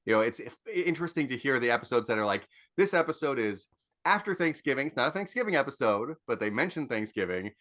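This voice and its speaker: A man speaks in a very bright, crisp-edged voice at a medium pitch. He is a guest podcaster in relaxed, casual conversation. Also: quick pace. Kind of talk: casual chat.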